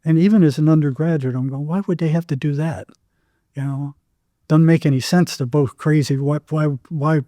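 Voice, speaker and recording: A man's voice: on the high side, moderate pace, dark tone, no room sound. An older American man telling anecdotes casually as an interview guest, acting out bits of dialogue as he goes.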